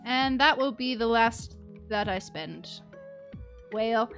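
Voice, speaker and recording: A woman's voice: fairly high pitch, expressive, slow, fairly bright tone. A woman livestreaming a game in casual chat, playful and prone to muttering little sound words to herself. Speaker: game streamer. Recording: music playing behind the voice. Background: music.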